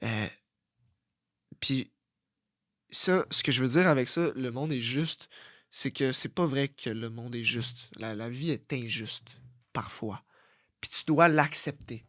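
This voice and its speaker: A young man with a Québécois French accent, hosting a blunt, profane motivational podcast that swings from loud outbursts to low muttering. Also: no room sound, studio quality. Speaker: fitness coach and podcast host.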